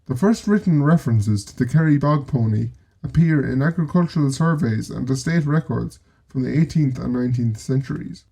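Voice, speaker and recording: An Irishman with a slightly higher voice narrates in a steady, scripted way, like a documentary presenter. His tone is mellow and warm, and he slips in dry, joking asides between the facts. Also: gravelly, slightly rough. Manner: measured storytelling with wry asides.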